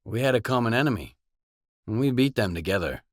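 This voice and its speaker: A man's voice: mid-range voice, animated, brisk, no echo, close to an everyday voice. An actor playing a guarded, determined male stalker mercenary in a video game, speaking tersely and matter-of-factly with dry delivery. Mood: firm, defiant. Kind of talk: video game character dialogue lines.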